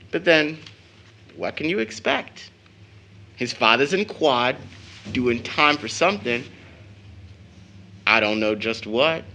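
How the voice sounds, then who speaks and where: An actor playing a proud, teasing teenage son in an audio drama of an early 1900s play, with an American accent and a theatrical, boyish manner. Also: male voice, medium pitch, bright, forceful tone, exaggerated voice. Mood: confiding, indignant. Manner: theatrical, jokingly pompous with slangy boyish talk.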